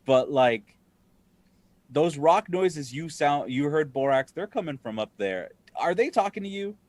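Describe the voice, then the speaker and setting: A man's voice: on the high side, animated, measured pace, a little mellow, no room sound. A man playing a tabletop role-playing game, talking in character with a hesitant, stammering manner. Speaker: player.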